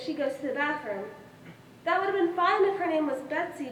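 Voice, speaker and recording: A young woman on stage playing a self-assured, fussy girl who boasts in an emphatic, childlike, matter-of-fact way. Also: high-pitched. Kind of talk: stage monologue in a one-act play. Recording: roomy, distant stage recording with noise.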